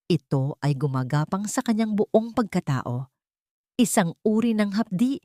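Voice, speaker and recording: A woman's voice: mid-range voice, a little mellow, no room sound, studio quality. A woman narrating a dramatic scripted story in a solemn, emotive way.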